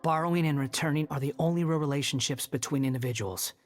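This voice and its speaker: A man's voice: a little high, fast, close to an everyday voice. An actor voicing a bitter, proud young male former villain in a video game, with a dry, aloof manner. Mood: aloof, determined. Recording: background music under voice.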